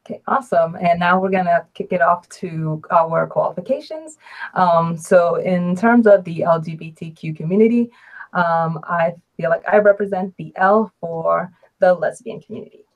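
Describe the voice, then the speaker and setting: Woman, early thirties, slightly low voice, casual live-stream host, easygoing, lots of ums. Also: moderate pace, phone-quality sound. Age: 30s. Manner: relaxed, hesitant with frequent ums. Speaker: host and adult advisor.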